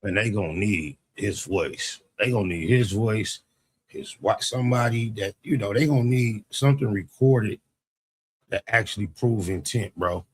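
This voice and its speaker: A man's voice: on the low side, moderate pace, slightly rough. A man giving casual, slangy, unfiltered reaction commentary as a host, with some swearing. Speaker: host reacting to a narrated video.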